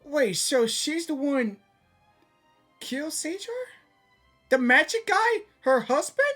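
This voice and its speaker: A woman doing casual live gaming reactions, excitable, stammering and repeating words. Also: high-pitched, takes it slowly, soft background music.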